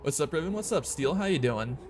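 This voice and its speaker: Soft-spoken young man with a drawl. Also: fairly high pitch, brisk, soft background music. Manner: soft, calm, hushed talk. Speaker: streamer. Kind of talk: quiet casual gameplay chat. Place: room at home at night.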